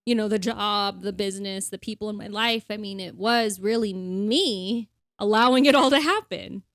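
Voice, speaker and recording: A woman's voice: medium pitch, normal speed, a bit bright, dry recording, clean studio sound. A young woman co-hosting a casual podcast, rambling with lots of fillers and frequent laughs. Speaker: podcast co-host.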